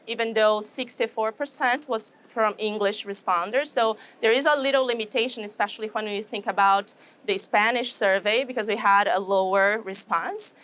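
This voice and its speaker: Woman, Brazilian accent, Portuguese native speaker, professor, measured explanations, filler words, mid-pitched voice. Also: fairly bright tone, clean tone. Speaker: animal science professor, guest. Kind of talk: interview answers. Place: trade show.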